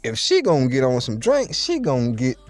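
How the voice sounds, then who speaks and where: Animated storytelling man with street slang. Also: medium pitch, a little fast, faint music behind. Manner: streetwise, playful, boastful slang, addresses listeners directly. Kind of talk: casual storytelling to viewers.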